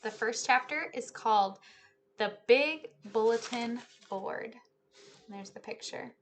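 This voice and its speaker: A woman speaking as a teacher reading a storybook aloud to young children, with expressive delivery. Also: on the high side, measured pace, slight background noise.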